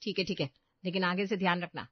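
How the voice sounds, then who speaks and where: A woman's voice: lowish voice, steady tone, quick pace, husky, no room sound, muffled recording. A woman playing a bossy, haughty housewife in a story, scolding and plain-spoken. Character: bossy middle-class housewife, skeptical employer of a maid.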